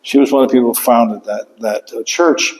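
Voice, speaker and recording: A man's voice: medium pitch, steady tone, darkish tone. Older guy giving a book talk, lots of uhs and restarts, echoey hall. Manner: halting, with many fillers and restarts. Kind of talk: museum author talk. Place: lecture room. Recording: long hall reverb.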